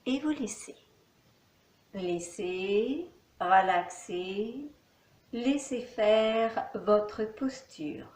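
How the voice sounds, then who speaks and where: A woman teaching yoga nidra, giving calm, soothing guided relaxation instructions with long pauses in an echoey room. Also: medium pitch.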